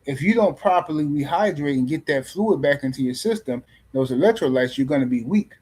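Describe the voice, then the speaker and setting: Male stream host, slightly high-pitched, loose teasing delivery, rambling casual talk. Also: lively intonation, brisk, a little mellow, husky. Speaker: boxing livestream host. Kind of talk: casual live-stream commentary.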